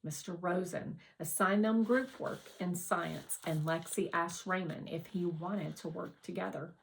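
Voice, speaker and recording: A woman reads a children's novel aloud with expressive storytelling. Her voice sits slightly low, and she takes her time through the scripted narration. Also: a little slow, gravelly, a little hiss or hum, low-quality recording. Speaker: audiobook reader.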